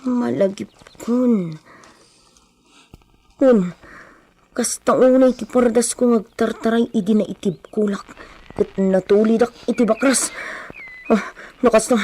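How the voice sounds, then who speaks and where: A woman's voice: on the high side, unhurried, pauses often, mellow. A woman with an Ilocano accent playing a frightened, weary fugitive in a radio drama. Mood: weary, fearful. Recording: clearly noisy radio drama recording.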